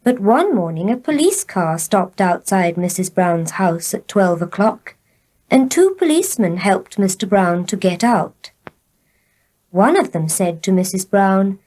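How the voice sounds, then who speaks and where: A woman reading short tales aloud for learners in a deliberate, expressive storytelling manner. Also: medium pitch. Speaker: story reader.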